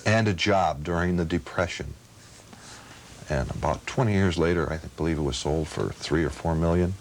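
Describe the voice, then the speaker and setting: A man's voice: sits low, moderate pace. A man, middle-aged or older, talking casually in an interview as a hobby enthusiast. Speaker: old-time radio enthusiast interviewee. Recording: faint background noise.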